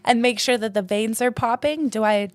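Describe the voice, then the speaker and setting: A woman hosting a podcast in casual chat, giggly and teasing, talking in quick playful bursts. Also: fairly high pitch, no room sound. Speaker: podcast host.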